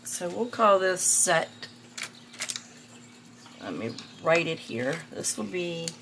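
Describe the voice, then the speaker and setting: Slow hoarse woman, raspy and gravelly. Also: fairly low pitch, sing-song, noisy background. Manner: slow, rambling, many fillers and restarts. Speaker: art channel host. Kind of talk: casual art supply review.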